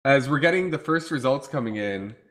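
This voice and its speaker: A man casting a live online game match, talkative and playful, his voice going hoarse. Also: medium pitch, animated, fairly quick, fairly bright tone. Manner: talkative, playful, hypes the chat. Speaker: esports caster. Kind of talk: casual live game commentary.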